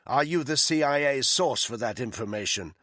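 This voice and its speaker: A man playing a hard-bitten male soldier who gives low, firm orders. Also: lively intonation, moderate pace, fairly bright tone, dry recording, studio quality, close to an everyday voice. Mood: grim, commanding.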